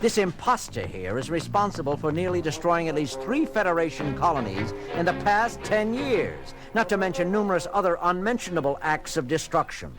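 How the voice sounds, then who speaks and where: A man's voice: on the high side, big pitch swings, brisk, hardly any pauses, music in the background, rough recording. A man playing an indignant, authoritative starship captain in a science fiction audio drama, with emphatic, exasperated delivery.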